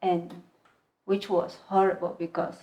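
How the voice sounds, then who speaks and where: A woman in her twenties from Kenya, speaking English as a second language, telling her personal story as a podcast guest in a soft, halting voice. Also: lowish voice, little pitch movement, rough recording. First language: a language other than English. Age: 20s. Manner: soft-spoken, halting, plain wording. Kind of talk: personal testimony in conversation.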